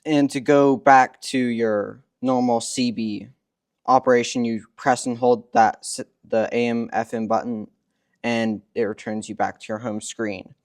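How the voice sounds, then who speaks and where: A man's voice: medium pitch, fairly even intonation, a little slow, no echo, clean studio sound. A man explaining features step by step as a product demonstrator, recorded indoors in a workshop.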